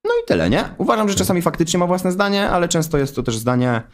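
A man's voice: sits high, sing-song, fast talker. A young man answering questions in a studio stream, emphatic and defensive, swearing often. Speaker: guest on a stream. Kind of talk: heated interview answers. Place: studio with wooden wall. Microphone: desk microphone.

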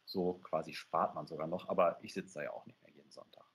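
A man's voice: deep voice, steady tone, fast talker, a bit bright, husky. A man in his thirties talking casually as an interview guest over a headset on a video call. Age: 30s. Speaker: interview guest, audio game creator. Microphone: earphone headset on a video call.

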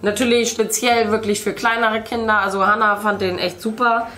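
A woman's voice: medium pitch, little pitch movement, normal speed, sharp tone. A middle-aged woman, chatting casually as she paints indoors. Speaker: host, mother. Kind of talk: casual chat while painting. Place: indoor room at a craft table.

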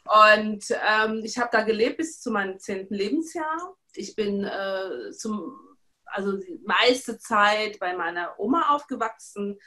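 Lively woman guest telling her story. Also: medium pitch, a little slow. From Czechoslovakia. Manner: lively with frequent fillers. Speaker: business coach guest. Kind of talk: interview storytelling. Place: studio room with teal backdrop.

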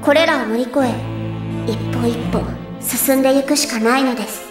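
A woman's voice: sits high, normal speed, music in the background, lightly acted. A woman voicing a self-assured, bossy teenage schoolgirl detective in a theatrical anime audio drama style. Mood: triumphant, theatrical. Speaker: voice actress.

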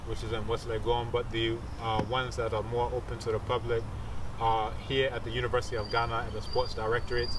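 A man's voice: mid-range voice, far from the mic. Man explaining outdoors, off the mic. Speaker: researcher and martial arts teacher. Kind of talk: informal explanatory interview talk. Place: outdoors among trees and bushes. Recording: outdoor recording with insects and traffic noise.

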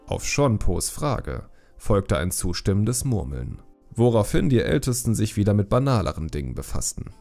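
A man's voice: lowish voice, sing-song, moderate pace, creaky, a little mellow, soft background music. A man reading a scripted fantasy story as an audiobook narrator.

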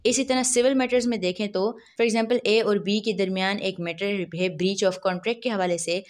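A woman's voice: medium pitch, steady tone, normal speed. Pakistani woman lecturer, explaining step by step. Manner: didactic, poses questions and then answers them. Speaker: law lecturer. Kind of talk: explanatory lecture, narrated over slides.

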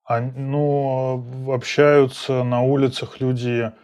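A man's voice: medium pitch, flat delivery, slow, a little mellow. A man in his thirties hosting a casual video call chat from a small room at home, laid-back and slangy, laughing easily. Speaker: podcast host.

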